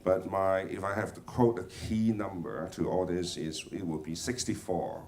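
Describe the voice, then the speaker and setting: A man speaking off the cuff as a film industry panelist, haltingly, with frequent stammers and repeated words. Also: deep voice, fairly even intonation, a little mellow, distant mic. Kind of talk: unscripted panel remarks. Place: panel at a film market event.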